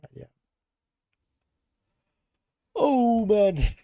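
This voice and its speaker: A man streaming his game development, hoarse with a worn-out voice, thinking aloud, answering chat and laughing at himself. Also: high voice, sing-song, takes it slowly, dark tone, dry recording, studio quality. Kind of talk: casual live-stream chat while coding.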